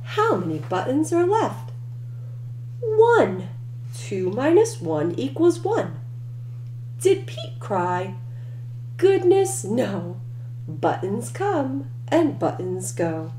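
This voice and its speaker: A woman with a high voice reads a children's picture book very slowly and with deliberate emphasis. Her tone is soft and mellow, and the recording has a roomy echo. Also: sing-song. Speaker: children's read-aloud storyteller. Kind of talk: expressive picture-book reading for young children. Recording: roomy echo, faint background noise.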